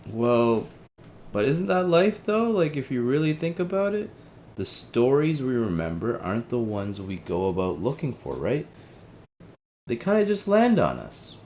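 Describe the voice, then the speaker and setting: A man's voice: medium pitch, wildly swooping pitch, unhurried, darkish tone, slight background noise. A man playing an easygoing, empathetic, slightly awkward young helpline counselor in a casual audio drama. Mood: probing, encouraging. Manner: casual, hesitant, slangy. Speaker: helpline host.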